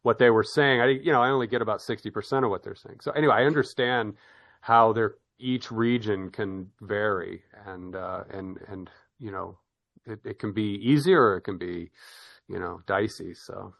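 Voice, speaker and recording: Middle-aged man, lowish voice, podcast host, rambling, stumbles and repeats words, chatty. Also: normal speed, creaky, fairly bright tone. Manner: rambling, repeats words and restarts, laughs easily. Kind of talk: casual chat.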